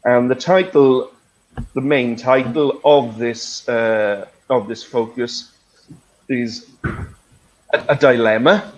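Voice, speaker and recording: Slow-talking middle-aged man teaching online. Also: medium pitch, a little hiss or hum, low-quality recording. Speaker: English teacher, webinar host. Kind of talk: conversational online lesson.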